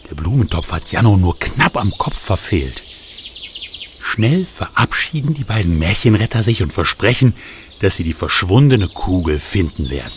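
Man playing a talking storybook narrator, very deep voice, animated, clear birdsong behind. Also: moderate pace, noisy background. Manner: lively storytelling, flustered. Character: talking storybook, male, chatty, fretful narrator. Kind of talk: children's radio play narration.

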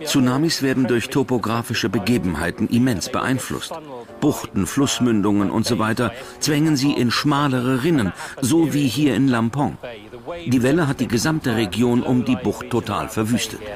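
A man's voice: medium pitch, lively intonation, moderate pace, music in the background. A man giving explanatory expert commentary as a documentary voice-over.

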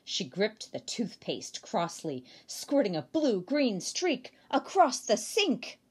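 A woman's voice: medium pitch, big pitch swings, slow pace, breathy edge, heavily acted. A woman voicing a little girl who loves coloring, in a storybook read-aloud. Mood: grumbling.

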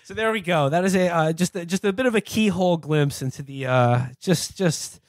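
Male podcast host, casual comic read-aloud delivery, slightly higher register, dry close sound. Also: fairly quick, no room sound. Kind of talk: casual comedic podcast talk, reading aloud texts.

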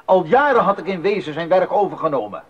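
Proud musician, declamatory and indignant, high-pitched, muffled radio play recording. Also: male voice, sing-song, fast talker, slightly rough, exaggerated voice. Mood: defiant, indignant. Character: proud, defiant male court musician standing up to his lord. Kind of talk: 1980s radio play acting. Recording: dull, band-limited 1980s radio recording.

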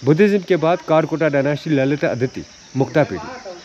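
A man's voice: fairly high pitch, a little fast, darkish tone, dull audio, slight background noise. A man working as a local guide, explaining the history of the ruins outdoors, calling the listener sir and listing dates and dynasties.

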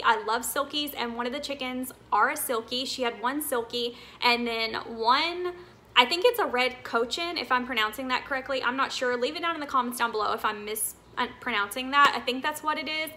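Bright, high-voiced, enthusiastic woman vlogger. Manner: enthusiastic, gushing. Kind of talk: casual chat to viewers. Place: indoor living room, seated.

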